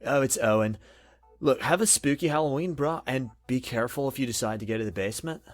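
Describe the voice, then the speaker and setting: A man's voice: medium pitch, lively intonation, fast talker, no echo, faint music behind, close to an everyday voice. A man voicing a friendly young slacker in a visual novel game, with a casual, dopey surfer-dude drawl. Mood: relaxed, casual. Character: laid-back, friendly young male slacker party host.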